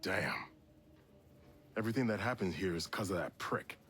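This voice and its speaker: Game role: disillusioned soldier, low register, blunt conversational delivery, light noise. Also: male voice, moderate pace, a bit bright. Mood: bitter, resolved. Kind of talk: video game cutscene acting.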